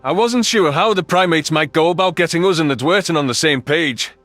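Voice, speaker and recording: Higher-pitched man narrating dramatic sci-fi. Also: brisk, fairly bright tone, no echo. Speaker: audiobook narrator. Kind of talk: scripted dramatic first-person sci-fi narration. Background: background music.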